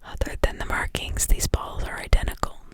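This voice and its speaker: A woman's voice: a little fast, natural voice. A woman reading the part of a pointed, theatrical male defense lawyer in a whisper, with pressing rhetorical delivery. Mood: persuasive.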